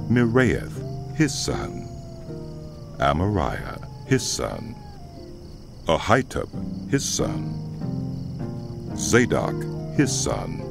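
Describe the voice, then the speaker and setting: A man reading scripture as an audiobook narrator, in a solemn, measured delivery over background music. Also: extremely low pitch, vocal fry.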